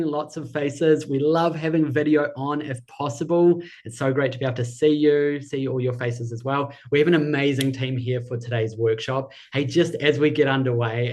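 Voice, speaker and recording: A man's voice: mid-range voice, darkish tone. Upbeat New Zealand man hosting. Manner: enthusiastic, reads out chat messages. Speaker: webinar host and business coach. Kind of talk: upbeat live webinar hosting.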